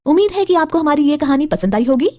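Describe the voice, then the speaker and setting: A woman's voice: high voice, animated, fast talker, mellow, no echo. A woman presenter delivering a scripted channel outro.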